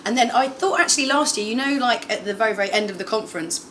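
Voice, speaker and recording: A young woman chatting as a podcast guest, telling stories in a lively, animated way. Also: a little high, fast talker, a little hiss or hum. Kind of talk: casual chat.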